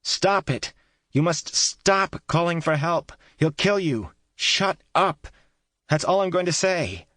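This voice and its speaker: A man voicing a terrified young woman prisoner shouting in panic for another captive to be quiet. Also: on the high side, animated, slow, no room sound, studio quality, pitched above the performer's own voice, exaggerated voice. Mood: frantic. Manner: frantic shouting.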